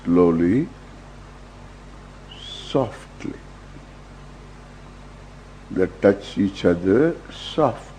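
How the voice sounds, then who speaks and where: An older man with an Indian accent guiding a meditation as a teacher, in measured short phrases with long pauses. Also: deep voice, expressive, warm tone. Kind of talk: guided meditation, slow instruction. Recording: dull lo-fi recording with faint hum.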